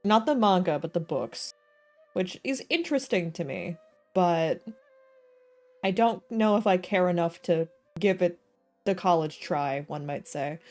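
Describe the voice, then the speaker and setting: A woman's voice: on the low side, expressive, unhurried, darkish tone. A woman livestreaming a game with casual, slangy, self-deprecating commentary and jokes. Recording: game music playing behind voice.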